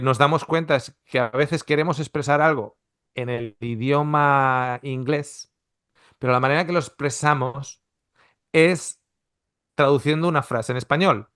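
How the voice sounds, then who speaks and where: A man's voice: medium pitch, a little slow, fairly bright tone, dry recording, clean studio sound. A middle-aged man from Spain teaching a Bible seminar in a conversational, explanatory way, full of restarts and rhetorical questions. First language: Spanish. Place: Australia.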